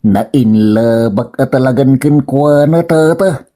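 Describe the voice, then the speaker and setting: A man playing a lovesick, gullible young villager who speaks haltingly, with a Filipino Ilocano accent, in a comedy drama. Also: mid-range voice, dark tone, natural voice. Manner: halting, hesitant.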